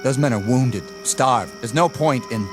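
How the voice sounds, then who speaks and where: An actor playing a shaken, conscience-stricken army lieutenant in a radio drama, speaking hesitantly. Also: male voice, medium pitch, over music, close to an everyday voice. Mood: pleading, troubled. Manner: hesitant, halting.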